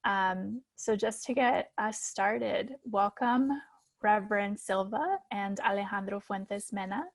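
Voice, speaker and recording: A woman's voice: mid-range voice, slow, dry recording. A woman speaking as host and moderator, giving a formal introduction to an event.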